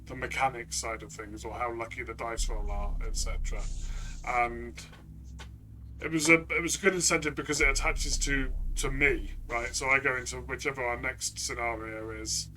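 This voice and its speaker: Man, medium pitch, extremely bright forceful tone, conversational co-reviewer, reflective, restarts phrases. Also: steady tone, normal speed. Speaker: tabletop game player and co-reviewer.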